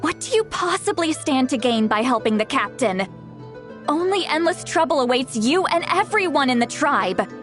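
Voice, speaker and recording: A nagging, scolding grandmother. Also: high voice. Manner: nagging, exasperated scolding with sighs. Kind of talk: video game cutscene dialogue. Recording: game music behind voice.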